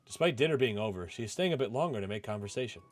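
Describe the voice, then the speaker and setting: A man's voice: mid-range voice, animated, rapid-fire. A man reading a visual novel aloud as first-person story narration. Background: music.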